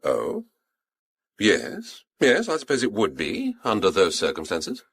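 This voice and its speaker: A prickly, hot-tempered British painter in a radio drama. His husky voice is clipped in phrasing and swings widely in pitch. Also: huge pitch swings, a little slow, a bit bright, dry recording, full character voice. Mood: guarded, affable.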